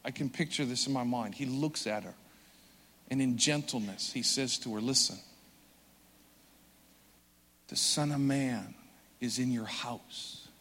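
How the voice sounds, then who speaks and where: A man preaching a sermon with earnest conviction, swelling loud for emphasis and dropping soft and slow. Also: medium pitch, breathy edge. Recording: long hall reverb.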